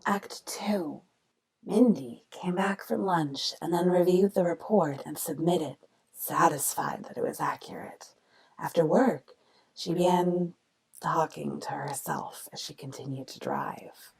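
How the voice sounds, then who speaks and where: Low, gravelly woman mystery narrator. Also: unhurried, darkish tone, slight background noise, low-quality recording. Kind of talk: scripted radio mystery narration.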